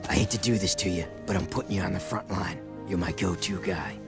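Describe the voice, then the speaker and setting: A man's voice: sits low, brisk, music in the background. A man voicing a serious resistance commander in a video game, earnest and urgent as he briefs. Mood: grave, urgent. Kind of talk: video game cutscene acting.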